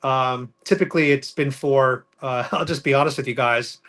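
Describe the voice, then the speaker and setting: A man's voice: medium pitch, steady tone, normal speed, a bit bright. A man who grew up in New York City, a podcast guest recounting his life in a matter-of-fact, orderly way. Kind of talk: casual podcast interview.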